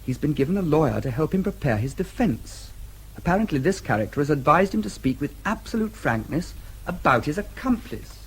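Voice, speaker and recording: An actor playing a dry, formal British diplomat in a radio drama, with a slightly husky voice and a wry manner. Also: male voice, on the high side, sing-song, a little fast, a little hiss or hum, poor audio. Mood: thoughtful.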